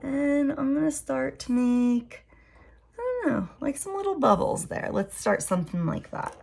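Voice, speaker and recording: A woman art teacher with a raspy voice, thinking aloud in a relaxed way as she draws a mandala at a desk. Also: high-pitched, a little slow. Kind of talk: drawing demo tutorial.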